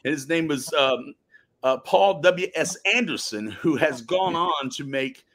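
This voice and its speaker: A man, an actor guesting on a podcast, who tells stories casually but emphatically, with dramatic pauses. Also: a little high, a bit bright. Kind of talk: casual interview chat.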